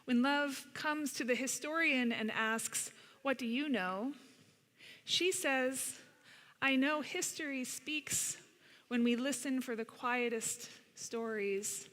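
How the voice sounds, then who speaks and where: Woman storyteller, higher-pitched, bright voice, slow deliberate read-aloud for children, long hall reverb. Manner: unhurried, deliberate phrasing with pauses. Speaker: storyteller reading to children at a church service. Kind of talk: picture-book read-aloud. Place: large indoor church sanctuary.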